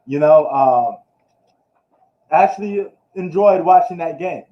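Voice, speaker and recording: A man's voice: on the high side, expressive, slow pace. Slangy man ranting in an echoey room. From New York. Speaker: sports streamer. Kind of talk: casual live sports recap. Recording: echoey room, dull lo-fi live stream.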